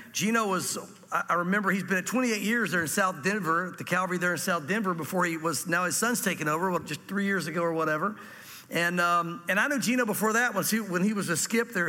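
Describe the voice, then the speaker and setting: A man hosting a men's conference, giving a casual, slightly halting welcome and announcements to an audience. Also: sits high, sharp tone. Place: large indoor hall. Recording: echoey hall, long reverb.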